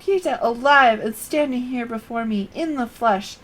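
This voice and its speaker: A hearty veteran rebel fighter with old-fashioned turns of phrase, as a woman reads him out. She keeps near her own voice, projected and steady, with no deep put-on tone. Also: normal speed, lightly acted. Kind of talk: reading game dialogue aloud.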